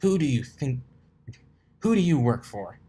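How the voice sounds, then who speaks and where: A man's voice: medium pitch, very animated, measured pace, warm tone, slightly rough, voice pushed up. A man voicing a brash, combative tomboy pegasus mare in an amateur storybook reading, demanding and emphatic, indignant and suspicious.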